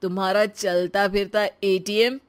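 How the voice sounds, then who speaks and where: Woman as cartoon husband, showy spendthrift, slow delivery, exclaiming, breezy. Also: medium pitch, little pitch movement, natural voice. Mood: placating. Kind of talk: Hindi moral-story cartoon dub.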